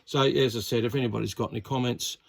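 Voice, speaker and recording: A man's voice: medium pitch, steady tone, fast talker, vocal fry. Easygoing Australian man, around sixty. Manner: rambling, lots of uhs and ums, easygoing. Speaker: radio hobbyist showing a CB set. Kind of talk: casual show-and-tell to camera.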